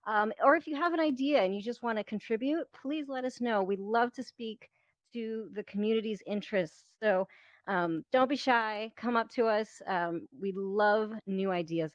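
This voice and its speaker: A woman's voice: mid-range voice, normal speed, dry recording. A woman leading a panel, introducing it informally in an eager, welcoming manner over a lo-fi online voice chat. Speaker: team leader hosting a panel.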